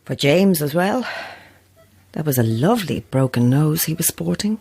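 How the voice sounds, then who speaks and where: A woman's voice: low voice, expressive, moderate pace, dark tone, husky, full character voice. A woman playing a composed, sceptical novelist in an audio drama, with a dry, understated and guarded manner. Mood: subdued, wry. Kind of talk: dramatic audio fiction acting.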